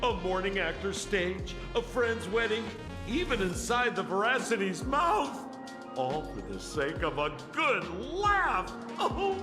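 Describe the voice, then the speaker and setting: An excitable, wily old informant from a video game, high-pitched and wildly swooping. He takes his time over theatrical, teasing lines, in a reverberant space with music underneath. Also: measured pace. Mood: sly, storytelling. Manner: theatrical, teasing storyteller with dramatic exclamations. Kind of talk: animated video game acting.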